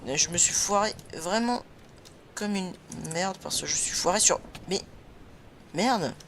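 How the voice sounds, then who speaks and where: A man's voice: high voice, unhurried, fairly bright tone, slight background noise. A young man hosting a casual gaming session, laughing often and swearing playfully at his partner. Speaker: gaming channel host.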